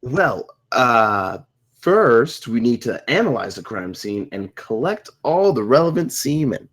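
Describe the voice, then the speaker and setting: Animated comedy lead, late twenties male reader: casual, clueless, raspy, very animated delivery. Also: medium pitch, measured pace. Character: flippant, defensive 28-year-old slacker man. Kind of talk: animated comedy script table read.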